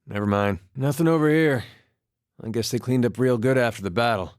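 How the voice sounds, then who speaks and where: A man's voice: mid-range voice, fairly quick, smooth, no echo, clean studio sound, close to an everyday voice. A man voicing a laid-back, wry farmer turned soldier in a video game, with a plainspoken, understated folksy manner. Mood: searching, casual. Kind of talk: performed game dialogue.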